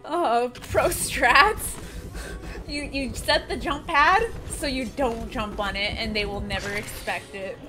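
Young woman, gaming streamer, high lively voice, unhurried talk, game music loud behind. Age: young adult. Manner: self-conscious, swears and laughs while playing. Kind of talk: casual gameplay commentary. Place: streaming room with purple lights.

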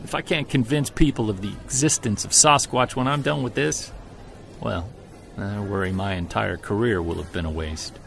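An actor playing a driven, weary Bigfoot investigator in an audio drama, earnest and conversational. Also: male voice, fairly low pitch, moderate pace, gravelly, fairly bright tone, faint music behind. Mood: determined. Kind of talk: audio drama, first-person narration and dialogue.

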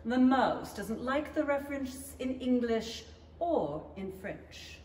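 Female radio-play narrator, slightly high and expressive, recorded from afar in a noisy, echoey hall. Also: takes it slowly. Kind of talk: scripted present-tense narration for a 1930s-style radio play. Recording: distant, echoey hall with noise.

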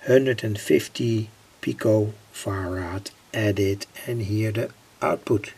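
A man explaining a homemade electronic circuit informally, in a halting, deliberate way with pauses. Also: on the low side, a little mellow, husky. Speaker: electronics hobbyist presenter.

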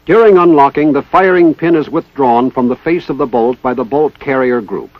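A man giving formal, precise, scripted narration in the style of a 1960s military instructional film, with measured enunciation. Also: medium pitch, no room sound. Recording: dull 1960s training film soundtrack.

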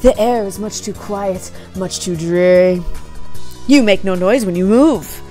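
A woman's voice: mid-range voice, unhurried, mellow, faint music behind, natural voice. A woman voicing a flamboyant male dancer boss in a game, with a put-on Mexican accent and a theatrical, declaiming delivery. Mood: grandiose.

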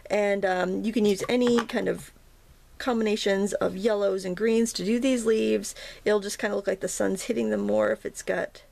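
A woman teaching a watercolor painting tutorial in a chatty, casual way, with many ums and restarts and a slightly hoarse morning throat. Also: mid-range voice, fairly even intonation, normal speed, darkish tone. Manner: chatty, self-interrupting, frequent ums and restarts. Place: indoors at a painting table.

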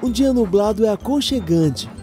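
A woman's voice: medium pitch, animated, moderate pace, dark tone, natural voice. A woman voicing a cheerful, earnest young male train engine in a children's cartoon, with a Brazilian accent. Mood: musing. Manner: wide-eyed, earnest, exclamatory. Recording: background music under the voice.

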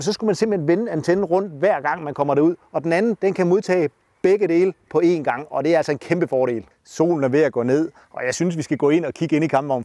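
A man in his forties gives a relaxed walk-through, gesturing as he explains each piece of kit. His voice is warm and slightly higher than most men's. The sound is dry and close, with almost no room. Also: dry recording. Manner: relaxed explaining, gesturing while showing gear. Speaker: caravan dealer presenting equipment. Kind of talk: casual walk-through demonstration. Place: outdoors at dusk, then inside a caravan.

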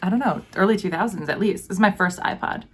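A young woman chatting casually, relaxed and a bit rambling. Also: medium pitch, quick pace, breathy edge. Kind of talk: casual chat.

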